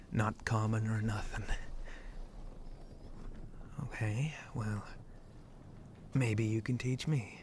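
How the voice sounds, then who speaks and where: A man voices a lowborn shark-monster pirate, flirty and reassuring, in a fairly deep voice. He drawls slowly in rustic pirate talk, often sinking into a breathy whisper. It is an intimate ASMR roleplay with light background noise. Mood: bashful, candid. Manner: rustic pirate dialect, hesitant drawl, teasing.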